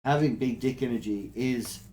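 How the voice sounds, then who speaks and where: A man's voice: medium pitch, normal speed, warm tone, a little hiss or hum. An Australian man co-hosting a podcast, chatting casually and giving blunt, sweary opinions. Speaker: podcast co-host. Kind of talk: casual banter between mates.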